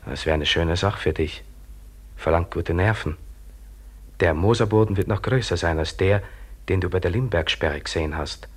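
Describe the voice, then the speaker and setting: Insistent inner voice of endurance, deep, rough-edged, clipped commands, radio play. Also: male voice, moderate pace. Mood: coaxing, steady. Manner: urging, insistent, short clipped phrases. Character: insistent inner companion urging a trapped worker to endure. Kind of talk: German radio drama acting.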